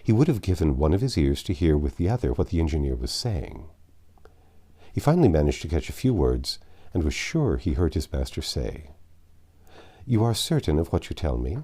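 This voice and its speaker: Man, low mellow voice, animated pitch, literary audiobook narration. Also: fairly quick, husky.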